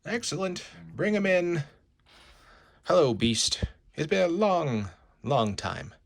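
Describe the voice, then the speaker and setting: A vengeful supervillain acted by a man gloats theatrically. His grandiose taunts are drawn out slowly, rising and swooping in pitch like a comic book read aloud. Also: big pitch swings, creaky, full character voice. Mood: gloating.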